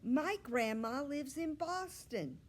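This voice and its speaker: A woman voicing eager young second-grade schoolchildren, loudly and proudly declaiming their answers. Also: high voice, expressive, slow pace, voice pushed up. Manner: loud, declaiming short answers.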